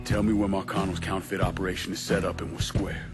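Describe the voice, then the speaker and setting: Hard young war veteran, low voice, blunt, street-tough, vengeful, video game drama. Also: male voice, little pitch movement, fast talker, loud music behind the voice. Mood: firm, pressing. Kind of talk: cinematic video game acting.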